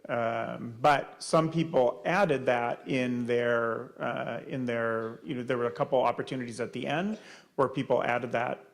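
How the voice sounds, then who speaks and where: A man presenting at a community panel, answering audience questions in a halting, explanatory way. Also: medium pitch, gravelly. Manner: explanatory, many fillers and restarts.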